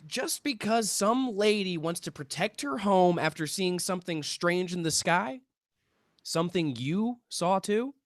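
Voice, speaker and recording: A performer plays a young male amateur investigator in a drama table read. The delivery is earnest and persuasive, swinging widely in pitch. The sound is dry and close. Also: expressive, unhurried, dry recording. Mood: pleading, persuasive.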